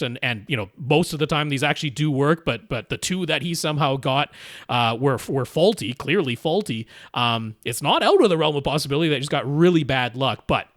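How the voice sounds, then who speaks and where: A man's voice: fairly high pitch, a bit bright, no echo, studio quality. A man hosting a podcast, explaining gear problems in a casual, explanatory way and quoting people in asides. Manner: explanatory, quotes people in asides, frequent restarts. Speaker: podcast host giving home theater advice.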